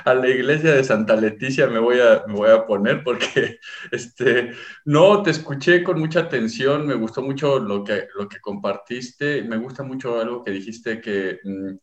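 A man's voice: medium pitch, normal speed. A Mexican man in his forties, joking in a casual panel chat with many fillers and hesitations. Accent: Mexican Spanish. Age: 40s. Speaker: co-host. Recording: echoey room.

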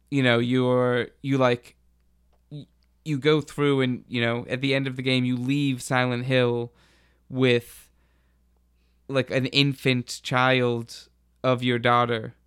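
A man talks casually on a podcast panel, explaining things informally. His voice is clean and smooth, at a middling pitch, and stays fairly flat in melody. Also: slow pace. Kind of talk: casual chat, explaining and retelling.

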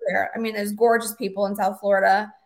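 A woman in her forties who is a guest attorney on a podcast, chatting in a relaxed way and often trailing off with fillers. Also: mid-range voice, quick pace, a loud crowd. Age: 40s. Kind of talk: casual interview chat.

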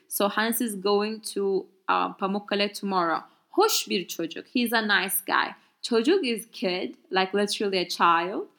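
A woman teaching a language in English, which is not her first language. She talks in a loose, chatty way, full of fillers and restarts, at a middling pitch. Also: a bit bright. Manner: casual, chatty explaining with many fillers and restarts. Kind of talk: explaining a Turkish dialogue in English.

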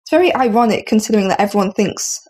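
A woman's voice: medium pitch, animated, rapid-fire, darkish tone, slightly rough. A young woman in a casual group chat, joking in a wry way.

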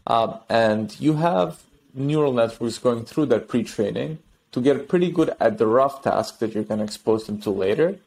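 A man talking as a guest on a podcast interview, explaining technology in an animated way with restarts and trailing thoughts. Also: mid-range voice, moderate pace, vocal fry. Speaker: tech company guest.

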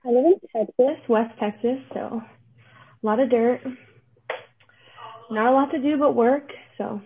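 A young woman from Florida answers podcast questions in a halting, self-deprecating way. Her voice sits a little high and comes through thin and tinny, as if over a phone line. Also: a little hiss or hum. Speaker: podcast guest. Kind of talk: casual chat.

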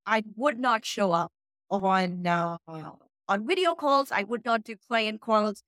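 A woman from Pakistan, English not her first language, giving frank, energetic advice as an interview guest over a video call. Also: medium pitch, slow pace, dry recording, studio quality. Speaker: guest, freelance writer. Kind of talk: casual interview talk.

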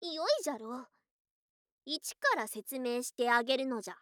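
High-pitched haughty snake-spirit girl. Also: big pitch swings, moderate pace, a bit bright, no echo, clean studio sound. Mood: indignant, proud. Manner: archaic haughty old-fashioned speech, theatrical. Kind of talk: Japanese ASMR situation voice drama.

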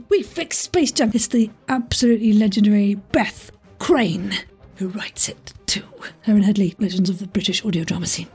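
A woman hosting a podcast quiz in a playful, chatty way, with self-deprecating asides and a husky voice. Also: medium pitch, normal speed, a little mellow, faint music behind.

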